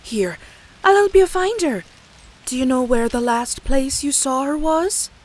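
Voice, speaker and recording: A woman voicing a sweetly soothing, slightly eerie motherly pony stranger, gentle and coaxing, in a horror comic dub. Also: high-pitched, lively intonation, unhurried, low-quality recording. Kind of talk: animated comic dub acting.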